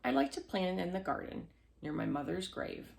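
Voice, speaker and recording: Gentle, obedient young fairy-tale heroine, read by a woman, earnest, polite, creaky-edged. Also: fairly low pitch, big pitch swings, moderate pace, heavily acted. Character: gentle, pleading young girl, mistreated and obedient.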